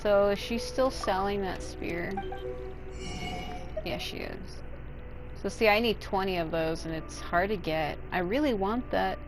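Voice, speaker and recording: A woman's voice: medium pitch, measured pace, poor audio. A woman giving casual, rambling gameplay commentary as a streamer, sounding a bit tired and unwell. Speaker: gaming streamer. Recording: game music behind the voice. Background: video game music.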